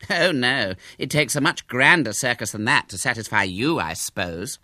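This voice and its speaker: A man's voice: on the high side, wildly swooping pitch, moderate pace, vocal fry, sharp tone, dry recording, pitched above the performer's own voice, exaggerated voice. A sly, smug schoolboy bully from a storybook, played by a man, with the voice pushed well up and very swoopy. He taunts with heavy sarcasm and false innocence, in a dry, close recording. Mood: sarcastic, challenging.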